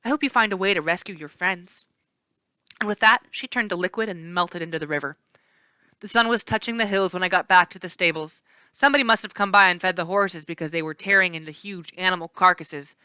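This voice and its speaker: A woman narrates a children's novel in an expressive read-aloud style. Her voice is bright and smooth, at an average pitch. The sound is dry and close. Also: fast, no echo.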